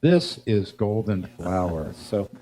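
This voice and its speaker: An older man hosting a live music show, giving a rambling, halting spoken introduction about music history, pausing to recall details. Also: on the low side, very animated, dark tone, low-quality recording. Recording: noticeable background noise and hum.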